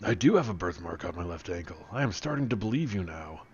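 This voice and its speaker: A man voicing a tough, earnest action hero in a comic story, delivering deliberate, melodramatic one-liners. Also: sits low, sing-song, a little mellow, slightly rough, muffled recording, pitched below the performer's own voice, heavily acted. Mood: indignant.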